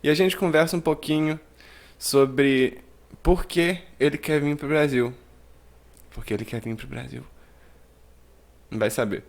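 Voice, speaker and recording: A man's voice: fairly high pitch, unhurried, gravelly, pauses often. A man who teaches English, hosting a casual podcast interview in a playful, joking way and switching between Portuguese and English.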